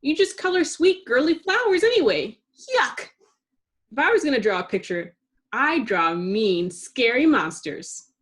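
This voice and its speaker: Woman putting on a feisty, scornful little girl, very animated. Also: on the high side, unhurried, voice pushed up. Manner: emphatic, taunting.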